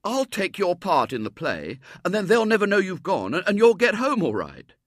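An earnest young boy from a storybook, acted out by a man, boastful and eager to impress. The voice is bright and raised, with very animated swings as he stammers and blusters. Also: fairly high pitch, normal speed, no room sound, clean studio sound, voice pushed up, full character voice. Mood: desperate, reassuring. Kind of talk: storybook character voice in narration.

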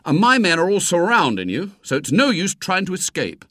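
A man's voice: medium pitch, very animated, normal speed, a bit bright, no room sound, studio quality, voice pushed up. A man voicing a small, self-important boy who fancies himself a spy, in a storybook reading. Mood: darkly boastful. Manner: darkly boastful, self-important. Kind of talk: acted dialogue in storybook reading.

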